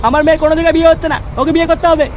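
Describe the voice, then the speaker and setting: A man voicing an irascible, foul-mouthed parent in a comedy cartoon, scolding and bullying in a pitched-up voice. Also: flat delivery, rapid-fire. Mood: angry, demanding. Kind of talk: exaggerated comedy cartoon acting. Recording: noisy track with street and vehicle ambience.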